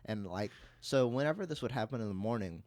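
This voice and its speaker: A man's voice: mid-range voice, animated, brisk, darkish tone, no echo. A young adult man co-hosting a podcast, telling anecdotes and bantering in a casual way. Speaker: podcast co-host.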